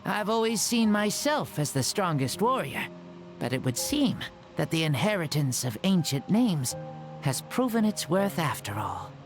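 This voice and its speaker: A man voicing an older, proud and genial warrior spirit in a game cutscene, speaking in a measured, grand way. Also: high-pitched, very animated, soft background music, heavily acted. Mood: warm. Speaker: game character.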